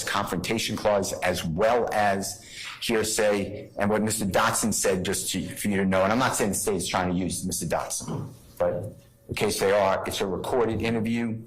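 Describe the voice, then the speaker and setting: A man arguing as a defense attorney in a courtroom, explaining his points in a halting way. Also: fairly low pitch, low-quality recording. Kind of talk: legal argument in court.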